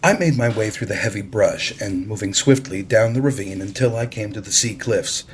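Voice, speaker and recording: A man's voice: lowish voice, normal speed, slight background noise. A man reading a story aloud as an audiobook-style narrator. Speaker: audiobook reader.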